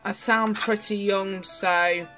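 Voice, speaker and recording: A young adult woman demonstrating a toy off the cuff, explaining as she goes in a halting way. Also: medium pitch, fairly even intonation, sharp tone, faint music behind. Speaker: toy reviewer.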